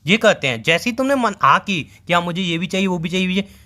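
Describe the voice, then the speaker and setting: Man acting a fast-talking, teasing young boyfriend. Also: high voice, lively intonation, pushed and bright. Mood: explaining, storytelling. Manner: bantering, explanatory, anecdotal. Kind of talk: casual scripted couple phone call in Hindi.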